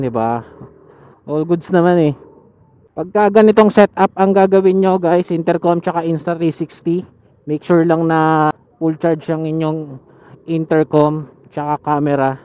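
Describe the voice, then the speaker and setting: A man's voice: on the high side, steady tone, moderate pace, darkish tone, clean tone. A man with a Manila Filipino accent chatting casually and informally to his viewers as a motorcycle vlogger. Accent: Filipino, Manila area. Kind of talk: casual vlog chat to viewers. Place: outdoors on a motorcycle in city streets. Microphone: helmet intercom linked to action camera. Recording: muffled helmet intercom mic, traffic noise. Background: faint vehicle and street noise.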